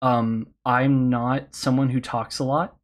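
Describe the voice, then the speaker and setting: A man who hosts a podcast, giving candid, encouraging advice on a convention panel, his voice slightly hoarse. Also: mid-range voice, little pitch movement, slow pace. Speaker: podcast host speaking on a panel.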